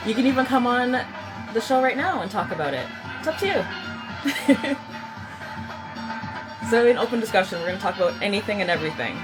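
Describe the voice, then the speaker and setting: A woman's voice: fairly high pitch, big pitch swings, fairly quick, pauses often, over music, poor audio. A woman hosting a live podcast stream, upbeat and laughing often as she greets viewers and asks questions. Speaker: podcast host.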